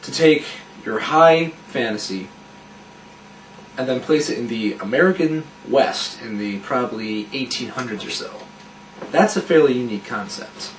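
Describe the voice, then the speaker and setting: A man's voice: medium pitch, expressive, normal speed, slight background noise. Thoughtful man in an echoey room. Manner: thoughtful, explanatory. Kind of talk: behind-the-scenes casual chat. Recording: echoey room, distant mic.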